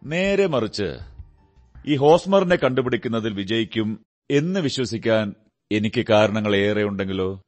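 An actor playing a shrewd, composed male detective in a radio drama, speaking deliberately with theatrical pauses. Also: lowish voice, animated, soft background music, lightly acted. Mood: confident.

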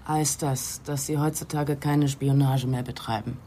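An actress playing a sceptical, forthright police detective in a German radio drama, with a pointed, questioning manner. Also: female voice, very deep voice, fairly even intonation, a little slow, warm tone, a little hiss or hum. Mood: probing, businesslike.

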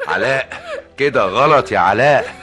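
An actor playing a calm, persuasive Egyptian colleague in a TV drama. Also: male voice, medium pitch, moderate pace, natural voice. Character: level-headed male engineer colleague.

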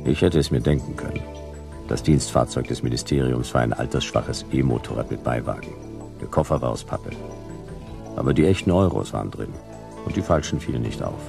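Gravelly, very deep hardboiled detective. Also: male voice, a little fast, exaggerated voice. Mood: brooding, laconic. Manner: deadpan, clipped. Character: laconic, hardboiled private detective narrating his case. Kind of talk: hardboiled radio drama narration. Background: music.

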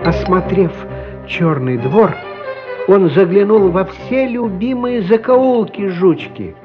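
A man's voice: sits high, slow pace. A man giving a dramatic, scripted literary narration. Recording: dull lo-fi radio, music behind. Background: music.

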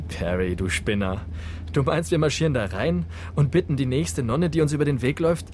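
A male actor plays a small-time crook in an audio drama, cocky and mocking. The man's voice is middling in pitch, with distinct noise around it. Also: moderate pace, close to an everyday voice.

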